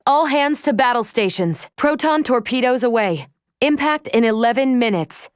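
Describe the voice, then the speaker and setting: A woman voicing a composed, logical android starship officer in a video game, speaking formally and precisely with a measured, clinical manner. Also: fairly high pitch, animated, clear, resonant tone, dry recording, clean studio sound, close to an everyday voice. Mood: urgent, controlled. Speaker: ship's operations officer. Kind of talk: scripted video game voice acting.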